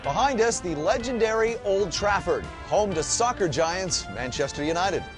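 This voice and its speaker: A man's voice: high-pitched, normal speed, music in the background. A Canadian man co-hosting a travel show, interviewing locals and presenting sights in a friendly way. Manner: friendly presenter, expressive delivery.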